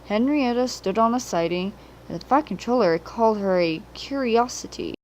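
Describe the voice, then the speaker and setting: A woman's voice: on the high side, animated, normal speed, a little mellow, rough recording. A woman reading a children's story as a scripted narration, on an amateur home recording.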